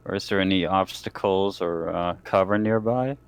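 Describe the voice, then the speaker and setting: A man playing a tabletop roleplaying game, talking casually in a halting, informal way. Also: deep voice, smooth. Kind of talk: casual chat during a game.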